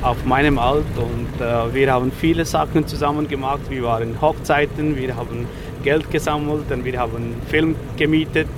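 A man in his forties from India, speaking halting with many fillers in a casual interview, outdoors on a city street. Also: mid-range voice, steady tone, background noise. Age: 40s. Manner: halting non-native German with frequent fillers. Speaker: interviewee, rickshaw driver.

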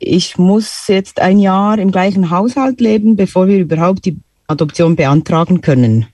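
A woman, answering calmly in a radio interview. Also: lowish voice, moderate pace, no room sound. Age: 30s. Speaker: interview guest.

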